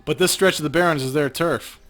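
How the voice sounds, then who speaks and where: A man's voice: a little high, fairly quick, fairly bright tone, natural voice. A man voicing a world-weary, wry street runner, reading video game dialogue with tough, streetwise talk. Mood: gruff, persuading. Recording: game music under the voice.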